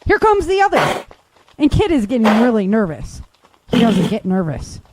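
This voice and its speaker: A woman's voice: fairly high pitch, wildly swooping pitch, normal speed, heavy background noise. An actress playing a spirited female fighter and horse owner in an audio drama. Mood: alarmed.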